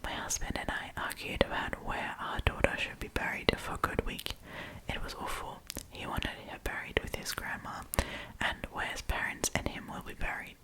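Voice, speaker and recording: A woman hosting an ASMR storytime, reading online stories aloud in a soft, breathy whisper. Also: low-quality recording. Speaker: host of an ASMR storytime channel.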